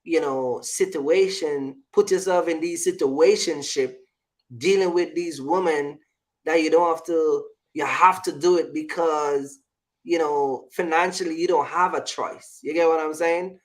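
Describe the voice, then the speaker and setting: A man's voice: a little high, steady tone, measured pace, rough recording. A man hosting a casual, opinionated discussion, repeating phrases and often checking that he is understood. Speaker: show host.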